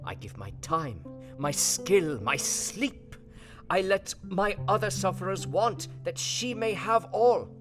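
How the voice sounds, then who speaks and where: Old professor, slow and swooping. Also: male voice, sits high, expressive, music in the background. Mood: impassioned, grieving. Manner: theatrical, broken English phrasing. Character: old foreign professor, grave, emotional, philosophical. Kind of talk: audio drama acting.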